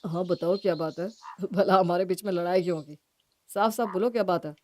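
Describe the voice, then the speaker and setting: A woman puts on a straightforward young brother in a cartoon moral tale. He speaks calmly and plainly, with a trusting manner. The recording has some noise. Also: lowish voice, brisk, pitched below the performer's own voice, natural voice. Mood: questioning, assuring. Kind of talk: Hindi moral-story cartoon acting.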